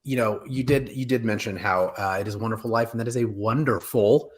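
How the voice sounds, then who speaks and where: A man in his forties co-hosting a casual panel chat, joking and animated, often interrupting himself mid-sentence. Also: on the low side. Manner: jokey, animated, halting with self-interruptions. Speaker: co-host of a pop culture live show. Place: home room, indoors, video call.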